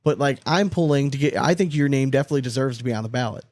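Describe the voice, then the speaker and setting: A man's voice: mid-range voice, racing, a little mellow, no room sound, clean studio sound. A man co-hosting a podcast with casual banter, talking in a blunt, profane, slangy way. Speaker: podcast co-host.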